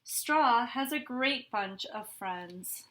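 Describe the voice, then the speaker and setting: A woman's voice: on the high side, animated, drawn-out words, pushed and bright. A woman reading a picture book aloud to children, adding chatty, explanatory asides as a teacher would.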